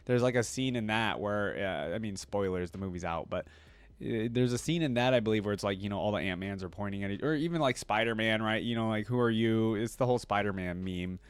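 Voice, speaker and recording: A man's voice: lowish voice, fast, smooth, no echo. A man hosting a reaction video, who talks casually and enthusiastically, rambling with lots of fillers.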